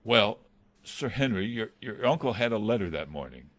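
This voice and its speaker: Low-voiced, deferential butler played by a man. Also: big pitch swings, normal speed, fairly bright tone, no room sound. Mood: hesitant, confiding. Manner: hesitant, formal, respectful. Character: deferential, anxious male butler, pleading and loyal. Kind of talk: dramatised reading of a classic novel.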